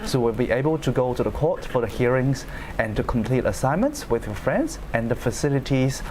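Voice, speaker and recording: A man's voice: medium pitch, fairly quick, slight background noise. Earnest young man from China. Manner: earnest, steady, with small grammar slips. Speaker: law student panelist. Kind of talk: panel discussion answers.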